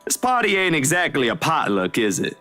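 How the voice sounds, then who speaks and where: A breezy, teasing male friend in a game, bright and animated, pitched a little high. Music plays behind him. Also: brisk, exaggerated voice. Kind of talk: visual novel voice acting.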